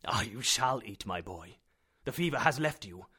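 A man voicing an affectionate older professor uncle in a storybook, with emphatic, deliberate, drawn-out phrasing. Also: lowish voice, animated, sharp tone, slightly rough, full character voice. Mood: cheerful.

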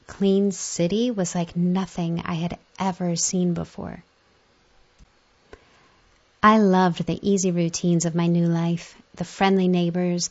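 A woman's voice: fairly low pitch, creaky, a little mellow. A woman reading magazine articles aloud, moving through the text and quotations as a reader. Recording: dull, muffled lo-fi audio.

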